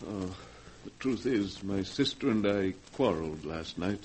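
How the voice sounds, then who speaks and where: Creepy polite unstable recluse, 1940s radio horror, theatrical, bit of noise on the old recording. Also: male voice, low-pitched, animated, unhurried, a little mellow. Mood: subdued. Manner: formal, courteous, theatrical. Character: unstable, secretive gentleman recluse with a violent temper.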